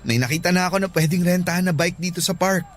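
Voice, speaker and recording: An actor playing a sweet, easygoing young student suitor in a Filipino radio drama, casual and earnest, with a Filipino accent. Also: male voice, high-pitched, fast talker, natural voice. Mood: friendly.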